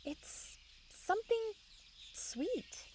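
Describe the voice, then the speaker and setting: An eager young fairy woman in a fantasy audio comedy. Her high voice moves up and down freely, with clear outdoor forest sounds behind it. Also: very animated, very slow, a little mellow, slight background noise, heavily acted. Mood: curious. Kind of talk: fantasy comedy audio drama with inner narration.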